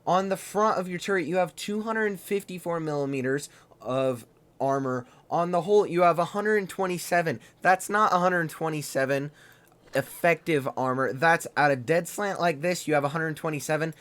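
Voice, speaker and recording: A man hosting a gaming channel, reviewing a tank game in loose, fast, chatty commentary. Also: fairly high pitch, fairly bright tone.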